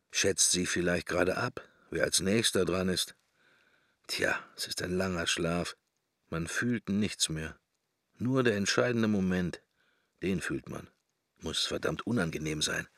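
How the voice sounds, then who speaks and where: A brooding middle-aged man in a radio drama's inner monologue. His deep voice is full of gravel and murmurs in wry, wandering fragments. The sound is bone-dry and close, with faint music behind. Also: pushed and bright, no echo, heavily acted. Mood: morbid drifting. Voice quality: gravelly, husky. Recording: roomless, very dry studio sound.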